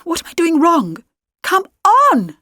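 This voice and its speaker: A woman voicing an earnest teenage boy hero with a breathy, urgent manner in an audiobook. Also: very high voice, huge pitch swings, takes it slowly, pushed and bright, dry recording, studio quality, pitched above the performer's own voice, full character voice. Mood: desperate.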